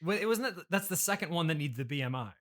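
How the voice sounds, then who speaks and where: Bright-voiced, halting male podcast host. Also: fairly high pitch, animated. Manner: halting, polite, puzzled, restarts sentences. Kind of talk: improvised deadpan comedy interview.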